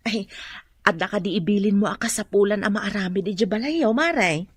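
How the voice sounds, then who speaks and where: An actress with an Ilocano accent playing a helpful, gently chiding village wife in a radio drama. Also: female voice, medium pitch, normal speed, breathy edge, dry recording, close to an everyday voice. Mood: caring.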